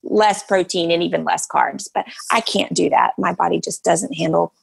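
A woman in her fifties, a podcast guest, explaining her habits in relaxed casual chat with many fillers. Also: fairly low pitch, moderate pace, vocal fry, slightly rough. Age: 50s.

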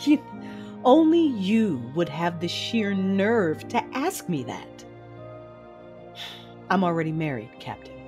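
A woman playing a conflicted, commanding Sith noblewoman in an audio drama, measured and controlled, with dramatic delivery. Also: lowish voice, sing-song, darkish tone. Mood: guarded, defiant. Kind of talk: first-person audio drama narration and dialogue. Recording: music behind the voice.

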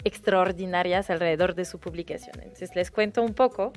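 A woman's voice: lowish voice, fairly quick, soft background music. A woman with a French accent, native French speaker, recommending a novel in a casual interview, often restarting her words. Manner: hesitant, frequent restarts and fillers. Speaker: guest book recommender.

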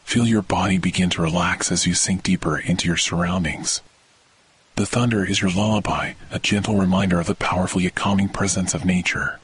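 A man hosting a guided sleep meditation, speaking in a soothing, measured, scripted delivery. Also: fairly even intonation, husky, poor audio. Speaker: meditation podcast host. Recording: thunder ambience under the voice. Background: thunder rumbles.

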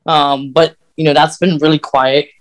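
Male podcast host, noticeably high voice, informal conversational delivery. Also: level tone, normal speed. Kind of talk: casual fan podcast chat.

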